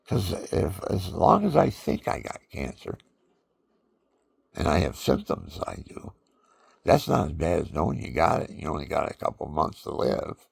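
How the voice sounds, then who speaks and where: An older man with a deep voice, talking in a rambling, folksy personal monologue full of fillers. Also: very animated, normal speed, vocal fry, slightly rough. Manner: folksy, meandering, with many fillers.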